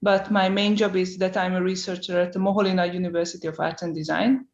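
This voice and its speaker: A woman introducing herself as a panelist on a video call, brisk and fluent. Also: lowish voice, steady tone. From Romania. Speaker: panelist, researcher. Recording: echoey dull call audio.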